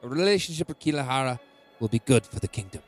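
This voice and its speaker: A man's voice: medium pitch, animated, quick pace, lightly acted. A man acting as a cold, domineering middle-aged king in a fantasy audio drama, commanding and contemptuous. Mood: cold, threatening. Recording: music behind the voice.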